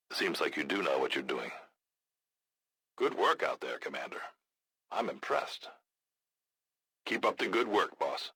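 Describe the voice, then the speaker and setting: An actor playing a hardened, wry, commanding resistance leader in a video game, with a gruff, raspy voice and a dry, blunt delivery. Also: male voice, sits low, bright, forceful tone, no room sound, full character voice. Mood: wry approval. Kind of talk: scripted video game voice lines.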